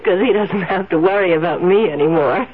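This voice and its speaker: An actress playing a doting, cheerfully oblivious wife with a gushing, coaxing manner in a 1970s radio drama. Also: female voice, fairly low pitch, fairly quick, a crowd in the background, lightly acted. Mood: gushing, delighted. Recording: muffled old radio, faint noise.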